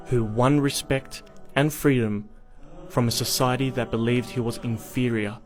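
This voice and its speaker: A man narrates a scripted documentary in a mid-pitched voice. His delivery is measured and articulate, like a storyteller's, with music clearly playing behind him.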